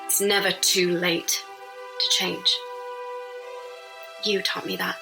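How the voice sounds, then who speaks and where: Girl acting young heroine full of doubt, slow heavy earnest delivery, music loud behind. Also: fairly low pitch, little pitch movement, creaky. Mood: anguished, earnest.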